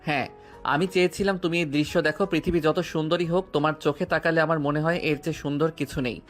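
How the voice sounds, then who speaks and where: A man playing a tender, persistent young student in love, speaking softly and earnestly in an audio drama. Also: fairly high pitch, fairly even intonation, fast talker, soft background music, natural voice. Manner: soft, earnest, romantic delivery.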